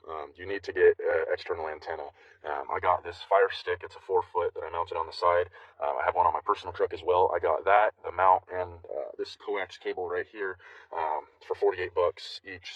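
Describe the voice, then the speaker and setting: Male, low-pitched, quick talker, informal off-the-cuff reviewer; slightly distant, dull mic sound. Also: no room sound. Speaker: CB radio owner giving a product review. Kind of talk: casual unscripted review chat.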